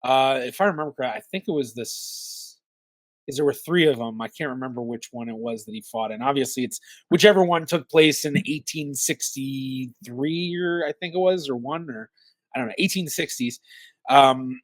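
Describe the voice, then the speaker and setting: A man's voice: mid-range voice, normal speed, no room sound, poor audio. A man hosting a genealogy channel, narrating and chatting in loose, self-correcting asides. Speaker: genealogist YouTube host. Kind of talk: reaction commentary and narration.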